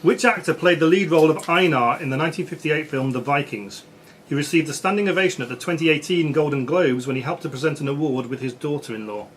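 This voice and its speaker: A man's voice: fairly high pitch, fast talker, fairly bright tone. A man acting as quiz master, reading out questions at a live quiz in a social club. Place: social club room with background noise. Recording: noisy club room recording.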